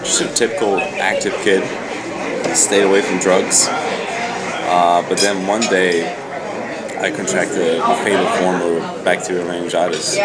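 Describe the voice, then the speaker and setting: A young man from Brazil, calmly and thoughtfully telling his own story as an interview guest. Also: low-pitched, unhurried, over a noisy crowd. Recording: distant, roomy with background noise.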